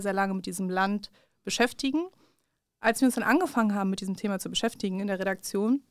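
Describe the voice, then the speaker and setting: A woman's voice: mid-range voice, gravelly, dry recording. A woman in her thirties from Afghanistan, a journalist guest speaking thoughtfully in a studio interview, with fillers and restarts, on a studio microphone. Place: small dark studio with curtains. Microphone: large studio mic on a stand.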